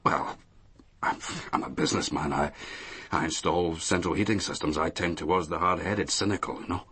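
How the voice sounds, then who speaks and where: An actor playing a weary, lonely middle-aged businessman and ex-soldier in a radio drama, speaking haltingly and repeating himself. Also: low-pitched, sharp tone, slightly rough, exaggerated voice. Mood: hesitant, explaining. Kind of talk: naturalistic radio drama acting.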